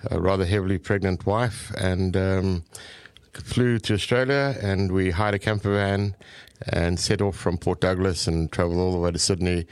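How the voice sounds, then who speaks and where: Male podcast guest, southern African accent, low-pitched, conversational storyteller, close dry recording. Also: fairly even intonation, moderate pace, clean tone, no echo. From Zambia and South Africa.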